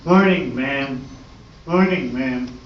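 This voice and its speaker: A man's voice: medium pitch, slow, natural voice. A man playing a stiff police officer questioning witnesses in an amateur radio play, recorded from afar in an echoey room. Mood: stiff, official. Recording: echoey, distant, muffled room recording, faint noise.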